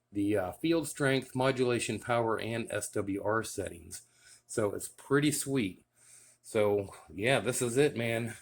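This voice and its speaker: Easygoing man with a low voice. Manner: easygoing and enthusiastic, many "um" and "uh" fillers. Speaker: radio hobbyist showing his gear. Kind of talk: casual show-and-tell vlog.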